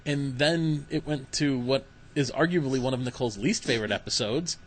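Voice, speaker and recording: A man's voice: mid-range voice, normal speed, low-quality recording. A man hosting a podcast, chatting eagerly as a fan. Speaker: podcast host. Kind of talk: casual chat. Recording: clear steady background hiss.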